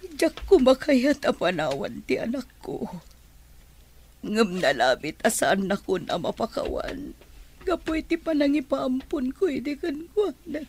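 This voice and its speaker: A woman's voice: high-pitched, sing-song, unhurried, darkish tone, a little hiss or hum, full character voice. An actress playing a self-sacrificing nanny and secret mother, pleading and heavy-hearted, in radio drama style. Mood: sorrowful, resigned.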